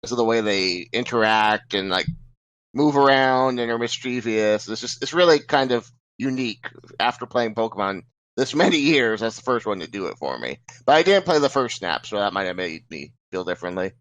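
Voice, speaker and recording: A man speaking casually and plainly as a podcast panelist, giving his opinions in a measured way. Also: fairly low pitch, expressive, fairly bright tone, dry recording, lo-fi sound. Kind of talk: casual, measured gaming chat.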